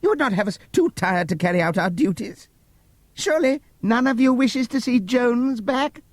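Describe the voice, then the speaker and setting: This is a slick storybook pig, pitched very high and swinging widely. He pleads in oily rhetorical questions, speaking for the rulers of the farm. The sound is close and dry. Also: expressive, moderate pace, no room sound. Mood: wheedling. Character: wheedling, slick pig spokesman for the farm's rulers.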